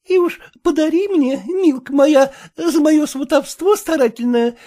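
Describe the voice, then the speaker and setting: A man's voice: extremely high pitch, measured pace, dark tone, pitched above the performer's own voice. A man voicing an older woman, a folksy matchmaker aunt who coaxes and wheedles for gifts. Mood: coaxing. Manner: coaxing, folksy.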